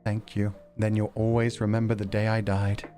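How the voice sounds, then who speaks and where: A man's voice: fairly low pitch, moderate pace, faint music behind. A man chatting casually on a stream, laughing often and brushing off praise. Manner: laughs often, self-deprecating, lively.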